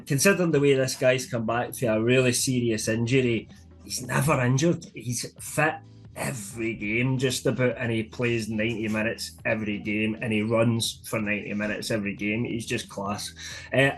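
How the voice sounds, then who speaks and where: A British man hosting a casual football podcast, chatting with friends in an opinionated, bantering way. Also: mid-range voice. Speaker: sports podcast host.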